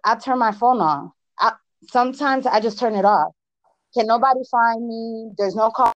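A woman from Connecticut with an East Coast accent, hosting a casual chat in an excitable way, with restarts and laughs. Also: fairly high pitch, normal speed, no room sound, muffled recording. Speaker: host.

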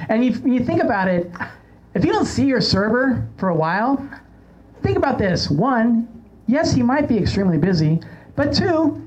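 A man's voice: high-pitched, a little mellow. A man hosting a podcast with casual comedic chat and anecdotes in a joking, self-mocking manner. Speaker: podcast host. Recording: slightly dull, lo-fi sound.

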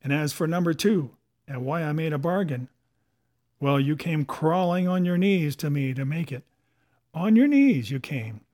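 Guy doing a bossy pirate sea cook for an audiobook, sneery, crafty, rough sailor slang, highish voice. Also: expressive, normal speed, a little mellow, natural voice. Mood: scornful, boastful. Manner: rough sailor dialect, taunting and commanding.

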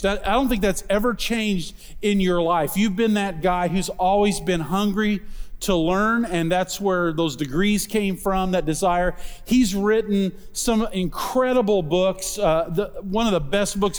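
A man with a high voice introducing a guest preacher, full of eager praise. His words echo with a long reverb in a large church hall. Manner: enthusiastic, warm praise of the guest. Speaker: pastor introducing a guest speaker.

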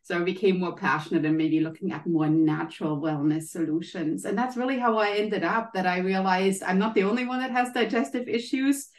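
A woman's voice: medium pitch, a little fast, hardly any pauses. German-accented woman in a roomy space. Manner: explanatory, many ums and hedging maybes. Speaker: guest, health and happiness coach. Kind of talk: casual interview answers.

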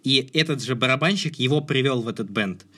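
Male podcast co-host, average pitch. Casual film chat, searches for phrasing, then lands points emphatically. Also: fairly quick, pushed and bright, breathy edge.

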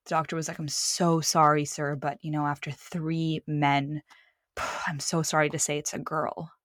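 A woman's voice: deep voice, fairly bright tone, dry recording, clean studio sound. A young woman from the Middle East, a filmmaker guest, telling personal stories in a candid, rambling way with many restarts. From Middle East (born Saudi Arabia, raised Lebanon). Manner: rambling, self-correcting, acts out quoted voices. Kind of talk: candid conversational storytelling.

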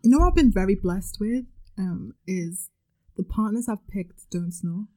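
A woman's voice: medium pitch, animated, normal speed, mellow, low-quality recording. Chatty Scouse woman podcast co-host. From Liverpool, England. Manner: laughing, chatty, bantering. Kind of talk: casual chat.

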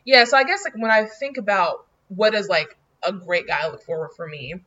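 Young woman in her 20s, bright voice, lots of like and laughing, kinda muffled audio. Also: medium pitch, lively intonation, moderate pace. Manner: chatty, lots of "like" and laughter, blunt. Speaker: podcast co-host. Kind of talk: casual chat.

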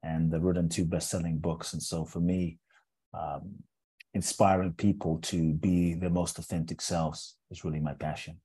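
A man in his forties who grew up in England, a speaker telling his life story as an interview guest in an earnest, measured way, often repeating words as he builds a thought. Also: deep voice, flat delivery, warm tone, breathy edge. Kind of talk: reflective personal storytelling in an interview.

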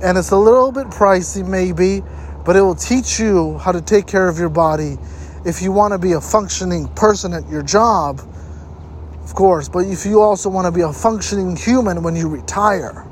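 A man with a noticeably high voice delivers motivational advice straight to his listeners. He leans on key points and repeats them for emphasis. The recording carries outdoor noise, with traffic and ambient sound around him. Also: normal speed. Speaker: host giving health tips. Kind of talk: motivational advice talk to camera.

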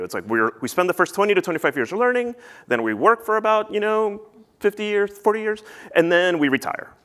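Casting: man in his thirties, conference speaker, loose and rambling with lots of "uh"s, smooth clear voice. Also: fairly high pitch, fairly bright tone. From born in Peru, grew up in the US. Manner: rambling, many fillers and restarts. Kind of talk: recorded conference talk.

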